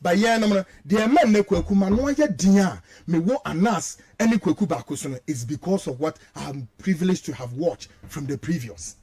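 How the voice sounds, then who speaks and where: A Ghanaian man with a very high voice argues like a fired-up political pundit. His pitch leaps around in animated swings as he switches between Twi and English. He stresses key phrases by saying them again. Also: moderate pace, hardly any pauses. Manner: emphatic, rhetorical, repeats phrases for stress. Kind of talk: heated political commentary, mixing Twi and English.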